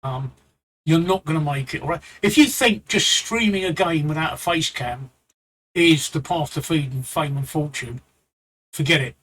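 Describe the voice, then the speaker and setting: A man's voice: fairly high pitch, normal speed, a bit bright, poor audio. A man with a London accent giving blunt streaming advice live, repeating himself and rambling into asides. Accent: London English. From England.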